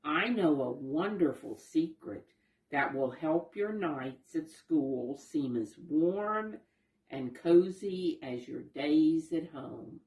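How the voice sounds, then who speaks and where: Brief: female reader as tender storybook raccoon mom, reassuring, slow, soft-toned and mellow. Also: low-pitched, animated, reverberant, far from the mic, low-quality recording. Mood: gentle reassurance. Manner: gentle, soothing. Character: gentle, reassuring mother raccoon comforting her child. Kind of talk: children's storybook read-aloud.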